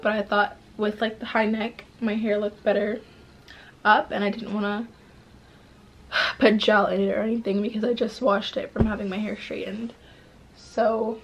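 A woman's voice: medium pitch, slight background noise, poor audio. Chatty young woman losing her voice. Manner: chatty, says "like" and "oh my gosh" often. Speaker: vlogger. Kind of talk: casual vlog chat. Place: bedroom, then inside a car. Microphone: handheld camera at arm's length.

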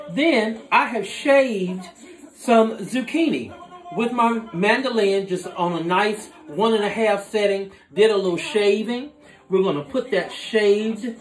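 A man in his forties hosting a home cooking demonstration, explaining each step in a relaxed, instructive way as he works at his kitchen counter. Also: high-pitched, reverberant. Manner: relaxed, instructive, talks while working with his hands. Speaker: cooking show host. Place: home kitchen with dining room behind, indoors.

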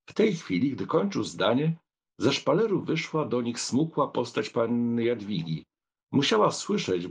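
A man's voice: medium pitch, breathy edge. A man reading literary narration expressively as an audiobook narrator.

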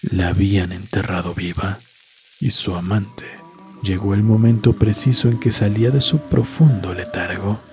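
Low male narrator doing a scary story, measured n solemn, music in the back. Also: fairly even intonation. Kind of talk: scripted horror story narration. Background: background music.

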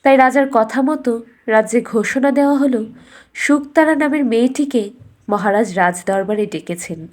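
A woman storyteller reading a scripted fairytale narration for a cartoon bedtime story. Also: a little high.

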